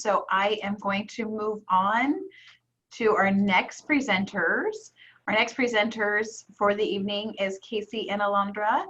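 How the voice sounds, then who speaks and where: A woman hosting an online event, introducing speakers politely and calmly. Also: mid-range voice, lo-fi sound. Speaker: event host.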